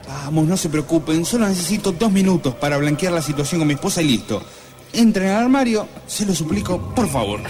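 A man's voice: fairly high pitch, expressive, fairly quick, darkish tone, close to an everyday voice. An Argentine man playing a scheming, earnest piano teacher who wheedles his way through a comic radio play. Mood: pleading. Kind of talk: comedic radio play acting.

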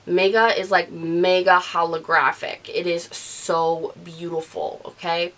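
A woman in her thirties chats her way through her monthly beauty favorites, gushing and animated as she goes. Her voice sits a little low for a woman. She is recorded indoors on a close studio mic. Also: slow. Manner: chatty, gushing, animated with hand gestures. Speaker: beauty vlogger. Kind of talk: casual product review talk. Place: living room, gray couch, indoors. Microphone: studio mic off camera.

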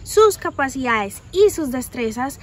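A woman introduces a segment outdoors with an upbeat delivery. Her voice is high. Faint noise sits behind her. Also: big pitch swings, unhurried. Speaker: segment presenter. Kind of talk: scripted presenting.

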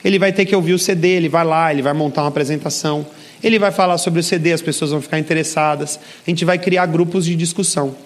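A middle-aged Brazilian man with a notably high voice works a seminar crowd from the stage of a large hall. He talks with energy and push, throwing questions straight at the listeners. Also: fast talker. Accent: Brazilian Portuguese. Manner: energetic, persuasive, addresses audience directly. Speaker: seminar speaker. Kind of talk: motivational seminar talk.

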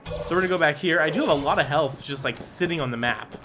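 A young man casually commentating a game he is playing, excitable, with lots of fillers and loud whoops. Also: on the high side, fast talker. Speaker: gaming channel host. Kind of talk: casual let's play commentary. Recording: game music and gunfire behind voice. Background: game music and gunfire.

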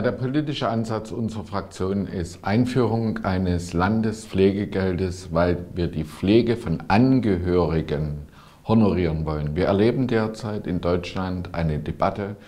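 Man in his forties, very low voice, dark soft tone, measured political statement. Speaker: party politician. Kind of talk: prepared policy statement to camera. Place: small indoor video studio. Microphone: lapel.

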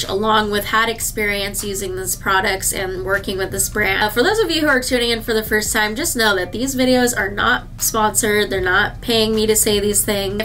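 Bright-voiced woman with a stuffy nose. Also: medium pitch, a little hiss or hum. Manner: chatty, upbeat, addresses viewers directly. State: slightly nasal from a cold. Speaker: licensed esthetician reviewing skincare. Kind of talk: casual product review to camera. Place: indoor skincare treatment room.

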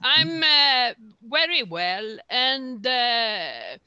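A woman from northern Sweden, speaking haltingly as she introduces herself on a group call. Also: on the high side, sing-song, pushed and bright, clean tone, no echo. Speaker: class participant. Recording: dull, distant video-call audio.

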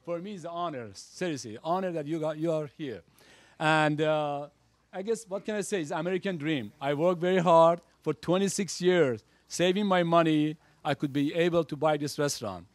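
Middle-aged man, humble restaurant owner, slightly high voice, dry close mic. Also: normal speed, no echo. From Iran. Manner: humble, earnest thanks. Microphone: handheld mic.

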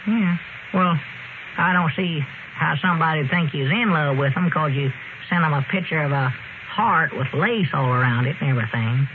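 A man's voice: on the high side, normal speed, natural voice. A man playing an elderly, skeptical backwoods storekeeper with a rural Southern accent and folksy talk, in radio comedy style. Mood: puzzled. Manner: folksy, drawling country talk. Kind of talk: 1930s-40s radio comedy acting. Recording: old radio broadcast, narrow band, noisy.

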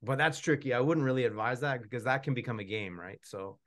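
A middle-aged man with a medium-pitched voice talks casually over a video call from a room at home. He rambles a little and plays down his own know-how as he answers questions. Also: fast. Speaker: puppeteer answering audience questions. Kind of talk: casual chat.

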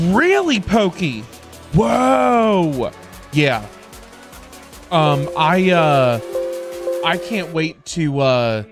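Slow-talking middle-aged man livestreaming. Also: fairly high pitch. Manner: halting, repetitive, informal. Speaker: livestream host. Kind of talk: casual livestream chat. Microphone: close desk microphone. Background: background music.

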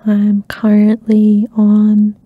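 A young woman hosting an ASMR channel, chatting softly and rambling with lots of fillers, often dropping into a whisper close to the mic. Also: mid-range voice, monotone, drawn-out words, dry recording, narrow, tinny audio. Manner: soft-spoken, slips into whispers, many fillers. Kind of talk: soft-spoken rambling catch-up chat. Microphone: close ASMR microphone.

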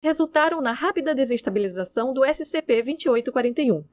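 High-voiced Brazilian woman narrating. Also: expressive, fast talker, darkish tone. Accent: Brazilian Portuguese. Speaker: narrator of horror fiction records. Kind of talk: scripted narration outtakes with casual asides.

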